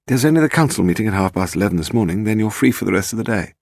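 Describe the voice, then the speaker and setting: A man voicing a cool, brisk female secretary with a crisp, deadpan manner. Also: on the low side, dry recording, clean studio sound, voice pushed up. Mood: casual.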